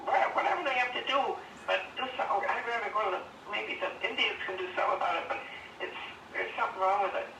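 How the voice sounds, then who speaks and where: An older woman chatting casually and haltingly on a phone call, rambling as she goes. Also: mid-range voice, vocal fry. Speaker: phone interviewee, former resident of the house. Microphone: phone call played over speaker. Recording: distant noisy phone speaker, echoey.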